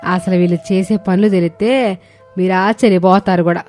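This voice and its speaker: A woman's voice: on the low side, steady tone, moderate pace, a little mellow, close to an everyday voice. A woman voicing a bossy, exasperated older mother-in-law in a cartoon family story, scolding and weary. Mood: complaining. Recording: music under the voice.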